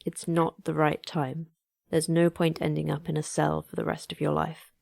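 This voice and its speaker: A woman playing the terse, secretive manager of a secret facility in an audio drama, deadpan and commanding. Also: low-pitched, normal speed, creaky, no room sound, clean studio sound, heavily acted. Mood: firm, guarded. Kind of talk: audio fiction drama acting.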